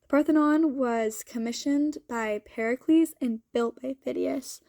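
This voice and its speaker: A young woman talks through a casual student vlog in a fairly high voice with a noticeably creaky edge. She sounds sniffly from a cold and speaks informally, thinking aloud as she goes. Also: a little slow, warm tone, no room sound, studio quality. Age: young adult. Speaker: student vlogger. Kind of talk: casual chatty vlog walkthrough.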